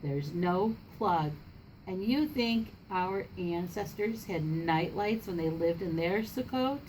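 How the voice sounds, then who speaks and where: A woman's voice: on the low side, animated, slow pace, darkish tone, a little hiss or hum, low-quality recording. A woman voicing a young girl who teases and taunts her brother in a storybook. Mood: teasing, scornful.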